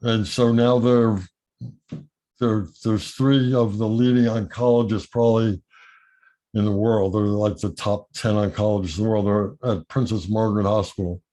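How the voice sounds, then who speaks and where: A man's voice: lowish voice, level tone, moderate pace, mellow. A man talking frankly in a casual video call, his voice changed by illness. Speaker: meeting participant.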